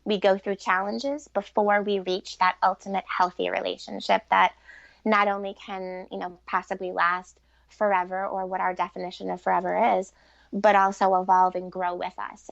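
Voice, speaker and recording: A woman's voice: medium pitch, level tone, normal speed, creaky, fairly bright tone, no echo. A middle-aged woman talking as an interview guest in an earnest, reflective and informal conversational way. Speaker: interview guest, relationship author and coach. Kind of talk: conversational podcast interview answers. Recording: dull, lo-fi sound.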